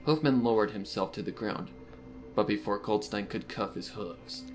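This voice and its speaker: A man's voice: on the low side, normal speed. A man reading fan fiction aloud as a dramatic, scripted story narration. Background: background music.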